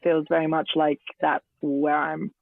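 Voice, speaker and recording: Guest actor, English woman, late 30s, hoarse and gravelly, hesitant; dry, tinny remote call audio. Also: low-pitched, flat delivery, unhurried, no echo. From England. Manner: hesitant, many ums and restarts. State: hoarse from illness. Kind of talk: casual interview chat. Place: garden shed at home. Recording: tinny phone-like remote line.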